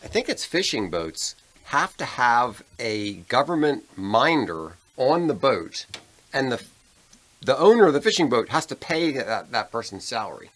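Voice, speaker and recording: A man's voice: medium pitch, sing-song, measured pace, fairly bright tone. An American man co-hosting a podcast in casual conversation over a beer. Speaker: podcast co-host.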